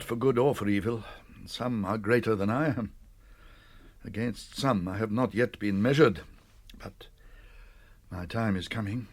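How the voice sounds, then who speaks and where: A British man, an older actor playing a wise, grave but kindly old wizard in a radio drama, with a measured, authoritative delivery. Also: on the low side, dry recording.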